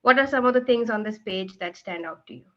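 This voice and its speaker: A woman's voice: medium pitch, fast talker. A woman presenting a book chapter to an online group from her room at home, explaining steadily with many "uh" fillers. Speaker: presenter and event organizer. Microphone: video call. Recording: dull lo-fi video call audio.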